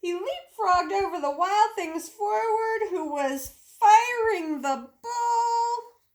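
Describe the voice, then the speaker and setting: A woman's voice: very high-pitched, slow pace. A woman teacher reading a children's storybook aloud expressively, with emphatic sound words and simple asides to young listeners.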